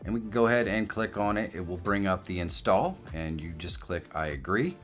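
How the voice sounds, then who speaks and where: A man hosting a software tutorial, explaining things step by step as narration. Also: low-pitched, animated, a little fast. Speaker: tutorial host. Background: background music.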